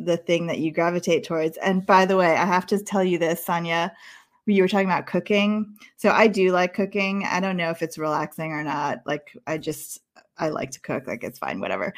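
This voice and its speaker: Woman, lowish register, informal and chatty, self-deprecating, casual conversational delivery. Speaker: dance studio helper in conversation. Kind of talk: casual chat.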